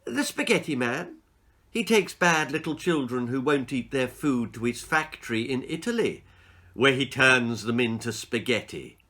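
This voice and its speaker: A man's voice: mid-range voice, lively intonation, normal speed, sharp tone. A man voicing a stern, knowing mother who gives matter-of-fact warnings in a children's storybook reading.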